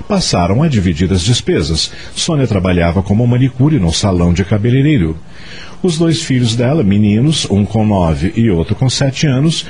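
A man narrating a scripted radio drama in an expressive storytelling manner. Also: on the low side.

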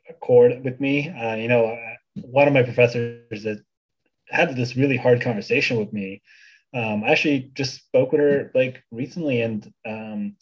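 A man's voice: mid-range voice, breathy edge, low-quality recording. A young man from Bulgaria in his twenties, a podcast guest chatting casually, with many fillers and restarts. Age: 20s. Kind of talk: casual interview chat.

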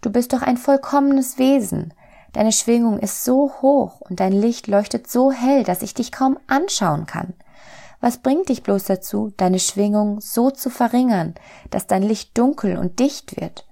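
A woman voicing an eager, curious, childlike little soul in a storybook, excited and full of questions. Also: on the high side, a little slow, creaky, pitched above the performer's own voice. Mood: puzzled, worried. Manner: excited, questioning, wide-eyed.

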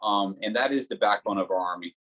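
Man, army, measured answers, frequent fillers, fairly low voice. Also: a bit bright, lo-fi sound. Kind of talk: interview answers.

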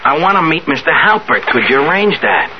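An actor playing a young, scheming, slangy street hustler in a 1970s radio drama. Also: male voice, fairly high pitch, big pitch swings, fairly quick. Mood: insistent, scheming. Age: young adult. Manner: slangy, insistent. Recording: dull, noisy 1970s radio recording.

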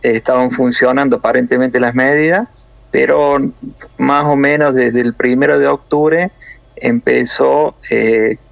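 A man from Córdoba with a Cordoban Argentine accent, a nurse explaining things in a measured way with many hesitations over a phone interview. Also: medium pitch, fairly even intonation, gravelly, dry recording, dull audio. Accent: Cordoban Argentine Spanish.

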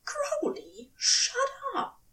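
A woman voicing an exasperated, commanding male angel in an audio story, agitated and breathy in delivery. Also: very high-pitched, big pitch swings, drawn-out words, sharp tone, far from the mic, voice pushed up, full character voice. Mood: fierce, impatient. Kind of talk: dramatic audio fiction reading.

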